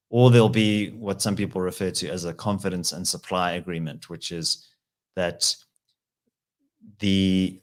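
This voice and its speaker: A man, a political analyst answering interview questions in a measured, explanatory way with frequent pauses. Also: low voice.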